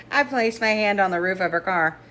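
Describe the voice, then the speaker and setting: A woman doing an animated, informal read-along of romance fiction as narrator, stumbling over words now and then. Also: medium pitch, normal speed. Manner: stumbles over words and giggles while reading. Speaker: narrator reading a novel aloud. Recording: clear background noise.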